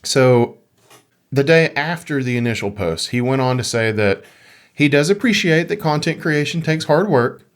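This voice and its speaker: A man's voice: mid-range voice, expressive, normal speed. Playful man co-hosting a casual podcast. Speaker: podcast co-host. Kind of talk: casual conversational podcast chat about gaming.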